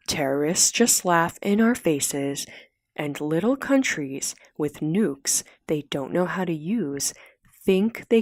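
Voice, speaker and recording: Woman voicing a low, hypnotic male henchman. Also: a little mellow, dry recording, studio quality, heavily acted. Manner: slow, hypnotic, coaxing. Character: smaller male henchman, hypnotic, patriotic, quietly menacing persuader.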